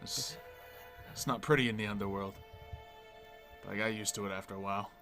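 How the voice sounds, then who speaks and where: A man's voice: on the low side, steady tone, sharp tone, soft background music. A man playing a stern, accusing figure. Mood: cold. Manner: cold, measured, accusing. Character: stern male figure confronting a demigod. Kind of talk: roleplay series acting.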